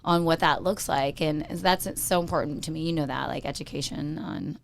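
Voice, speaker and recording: A woman co-hosting a casual show, telling stories in a chatty, bubbly way, her voice a little raspy from yelling. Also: low-pitched, moderate pace, slight background noise. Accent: American. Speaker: co-host. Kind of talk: casual chat.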